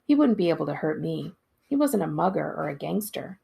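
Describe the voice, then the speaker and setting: Female storybook narrator, librarian read-aloud style: expressive delivery, lower register, strongly raspy. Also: a little fast. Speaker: school librarian reading aloud.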